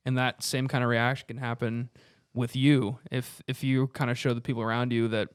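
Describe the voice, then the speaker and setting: A man's voice: mid-range voice, little pitch movement, a little fast, smooth, dry recording, studio quality. A man chatting casually as a podcast panelist, agreeable and supportive. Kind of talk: casual chat.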